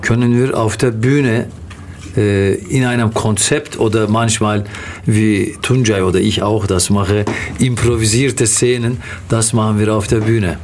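Slow, low-voiced Turkish-accented man. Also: fairly even intonation, darkish tone, slight background noise. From Turkey. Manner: halting, pausing often to search for words. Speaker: interview guest, theatre actor. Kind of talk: conversational interview answers.

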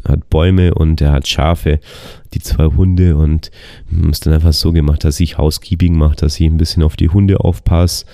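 Young guy with a deep gravelly voice rambling about his bike trip, lots of ums, dry close mic. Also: mellow, no room sound. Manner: rambling, many fillers and restarts. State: getting over the flu. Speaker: travel vlogger on a bicycle tour. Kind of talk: casual spoken travel diary.

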